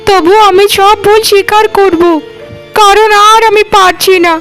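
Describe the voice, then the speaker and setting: Very high, plaintive young village sister voiced by a woman. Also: steady tone, unhurried, a bit bright, heavily acted. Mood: remorseful lamenting. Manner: plaintive, lamenting. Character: young unmarried village sister, guilt-ridden and pleading. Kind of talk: melodramatic cartoon dialogue. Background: faint music.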